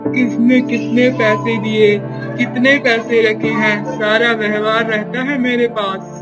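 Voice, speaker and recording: A woman's voice: fairly high pitch, flat delivery, slow, loud music behind the voice, poor audio. A woman playing a greedy, self-righteous male moneylender in a cartoon story, accusing and insistent. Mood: defensive, stern. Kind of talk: scripted cartoon story dialogue.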